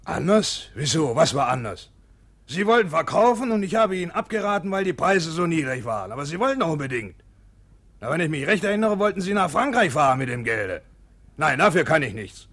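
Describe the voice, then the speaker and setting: A man's voice: fairly high pitch, sing-song, fairly quick, a bit bright, full character voice. A man playing an exasperated, defensive business adviser in a radio drama. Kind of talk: radio play acting.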